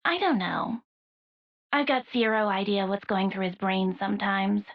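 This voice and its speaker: A woman's voice: medium pitch, normal speed, natural voice. A woman playing an irritable, offhand young messenger in a video game cutscene, on slightly muffled audio. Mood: exasperated.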